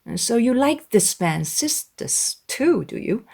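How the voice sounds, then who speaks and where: Woman playing a lively, teasing young lady. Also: a little high, slow, gravelly, breathy edge, pitched above the performer's own voice, heavily acted. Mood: teasing, wry. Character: witty, teasing, confident young gentlewoman. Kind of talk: dramatized audiobook character.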